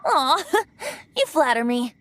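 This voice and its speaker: A woman's voice: high-pitched, very animated, takes it slowly, a bit bright, heavily acted. A woman voicing a youthful, boyish male bard god in a video game, playful, airy and theatrical. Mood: bashful.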